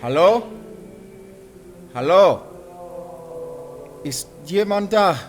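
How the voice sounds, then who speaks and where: A man playing a gruff, guarded but protective werewolf hunter with a German accent, speaking deliberately in a cinematic audio drama. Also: high voice, lively intonation, slight background noise, exaggerated voice. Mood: wary calling. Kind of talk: cinematic audio roleplay acting. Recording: music behind the voice.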